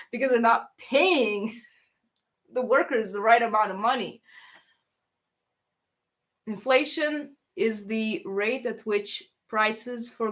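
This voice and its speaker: A woman's voice: on the high side, a bit bright. A woman from Russia who grew up in Toronto, speaking with a mixed accent that has a slight Russian trace. She hosts a casual livestream, thanking donors and rambling on with many fillers and restarts. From Russia, raised in Toronto, Canada.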